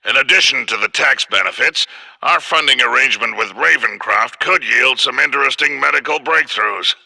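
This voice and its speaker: Pompous crime boss, forceful and bright. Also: male voice, medium pitch, gravelly, no echo, exaggerated voice, poor audio. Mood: smug, calculating. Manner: pompous, measured, formal speech. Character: wealthy, smug, menacing crime boss posing as civic benefactor. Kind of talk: animated superhero cartoon acting.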